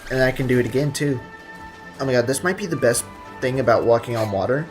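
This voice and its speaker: A man's voice: mid-range voice, fast talker, faint music behind. A man live streaming a video game, chatting casually with viewers in a slangy, joking, rambling way.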